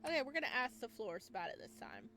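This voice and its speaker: A woman playing a visual novel, reading its text aloud and breaking in with excited reactions. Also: on the high side, racing, a little hiss or hum. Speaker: game streamer.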